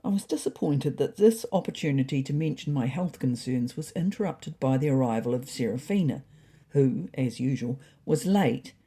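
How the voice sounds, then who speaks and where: Woman as an anxious cat, mellow and expressive. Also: rumbling low, moderate pace, husky, pitched below the performer's own voice, heavily acted. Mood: weary, gloomy. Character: anxious, earnest pet cat confiding in a diary. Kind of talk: first-person diary narration.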